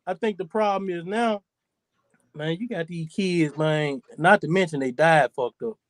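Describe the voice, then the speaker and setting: A man's voice: fairly high pitch, measured pace, no echo, clean studio sound. A man in his forties hosting a podcast, talking in a loose, profane, rambling way. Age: 40s. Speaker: podcast host. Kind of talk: casual chat.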